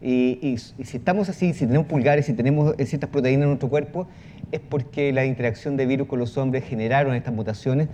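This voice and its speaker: Mellow man over background noise. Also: medium pitch, quick pace. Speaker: panel guest. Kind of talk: spontaneous panel talk. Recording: clear background ambient noise.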